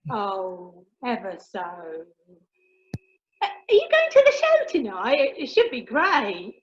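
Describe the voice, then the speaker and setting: A woman's voice: on the high side, huge pitch swings, takes it slowly, exaggerated voice. A woman playing a brash, cheeky working-class mother, chatty and gossipy, in an amateur radio comedy. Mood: gleeful, boastful. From Basildon, Essex, England (character). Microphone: video call. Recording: dull, lo-fi video call.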